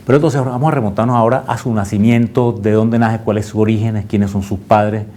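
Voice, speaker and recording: Mellow, low-voiced host. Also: male voice, fairly even intonation, fast. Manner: friendly, guiding questions. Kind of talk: hosting a history talk show.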